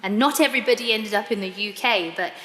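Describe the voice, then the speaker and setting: A woman's voice: mid-range voice, quick pace, bright, forceful tone. A woman with a British accent telling her personal story as a guest in a live interview. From United Kingdom. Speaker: interview guest giving testimony. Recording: echoey hall, clear background noise.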